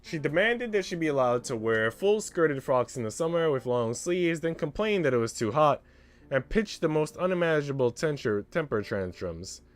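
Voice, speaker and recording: A man's voice: fairly high pitch, lively intonation, normal speed, close to an everyday voice. A man voicing a haughty, teasing young mistress with arch, precise, theatrical phrasing, in a visual novel. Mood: irritated.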